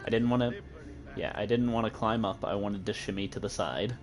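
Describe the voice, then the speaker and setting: A man's voice: lowish voice, fairly even intonation, a little fast, soft background music. A young man with a Midwestern American accent giving playful, giggly commentary while streaming a game. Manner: playful, giggly, joking with chat. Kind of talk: casual gameplay commentary.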